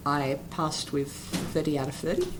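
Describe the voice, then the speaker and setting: A man talking as a guest in a casual interview, hesitant and halting with frequent restarts. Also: on the high side. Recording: noisy background.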